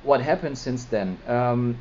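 A middle-aged German-accented expert, native in German, dialing into a podcast on a muffled, faintly noisy link to explain things point by point. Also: male voice, medium pitch, measured pace, darkish tone. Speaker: interviewed data privacy law expert. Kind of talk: explanatory interview answer. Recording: dull lo-fi link, faint noise.

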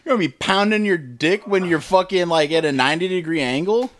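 A man's voice: high voice, fairly quick, a bit bright, dry recording. A man co-hosting a comedy podcast, telling a crude story in a blunt, profane, loose way. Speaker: podcast co-host.

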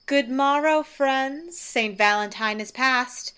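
A woman's voice: high voice, takes it slowly, pushed and bright, smooth, heavily acted. A woman playing a commanding, gracious noble ruler in a Shakespearean radio play.

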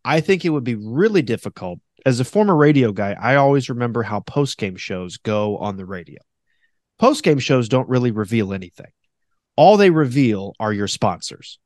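A man with a deep voice hosting a casual podcast, animated and quick to mock radio announcers in a high voice. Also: no room sound, studio quality. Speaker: podcast host; former radio host.

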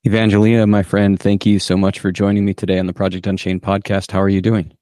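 A man's voice: low voice, little pitch movement, quick pace, warm tone, no echo, clean studio sound. A man in his thirties hosting a podcast, chatting casually and rambling a little, repeating his phrases. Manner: rambling, repeats himself, friendly. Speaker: podcast host. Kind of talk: casual interview chat.

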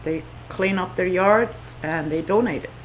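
A woman with a Swiss accent and a somewhat low voice talks through her experiences in a relaxed interview. She tells stories earnestly, circling back with restarts and soft chuckles. Faint noise hums under the recording. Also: unhurried, low-quality recording. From Switzerland. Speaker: guest, community food organizer. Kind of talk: casual interview conversation.

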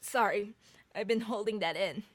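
A woman's voice: mid-range voice, normal speed, dry recording, close to an everyday voice. A woman playing a sarcastic young mother who needles her partner with dry mockery in an audio drama. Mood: mocking. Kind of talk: two-hander audio drama reading.

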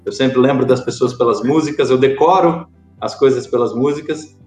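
A man with a Brazilian accent, a podcast guest talking casually, often pausing with fillers and restarting his sentences. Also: mid-range voice, a little mellow, echoey room, soft background music. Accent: Brazilian Portuguese. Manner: hesitant, many fillers and restarts. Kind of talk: casual interview chat.

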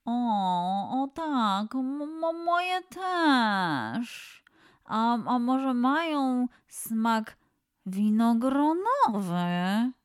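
A gentle, good-natured animal friend from a children's tale, put on by a woman. The words come very slowly and stretched out, in a fairly high voice. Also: very animated, clean tone, no room sound, studio quality, exaggerated voice. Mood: eager.

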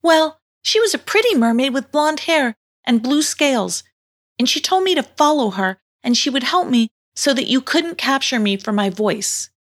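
A woman's voice: high voice, lively intonation, moderate pace, pitched above the performer's own voice. A woman voicing a sweet, anxious young girl in a storybook, with an earnest, pleading manner.

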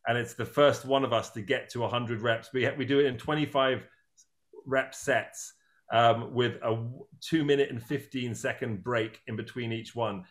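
A fifty-year-old man interviews his guests in a loose, rambling way, full of restarts and jokes at his own expense. His voice sits at an ordinary middle pitch, neither deep nor high. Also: steady tone, moderate pace. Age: 50s. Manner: rambling, self-deprecating, many restarts. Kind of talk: casual interview chat.

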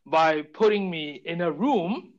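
A man raised in Saudi Arabia, on as a podcast guest, tells stories about his childhood. He is chatty and laughs often, and his voice sits slightly higher than most men's. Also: lively intonation, slow pace, highs cut off. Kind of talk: casual interview storytelling.

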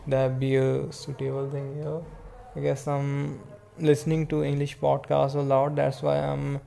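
A man with an Indian accent giving casual solo sports commentary as a podcast host, in a rambling, halting way. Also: mid-range voice, completely flat delivery, gravelly, dark tone.

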